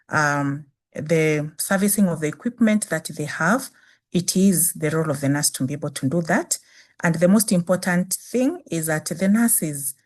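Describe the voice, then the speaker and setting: A woman with a Kenyan accent, a native Swahili speaker, giving a measured webinar presentation on nursing with frequent restarts. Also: fairly low pitch, a little mellow. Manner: measured, repeats phrases with restarts. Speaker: guest speaker, nursing dean.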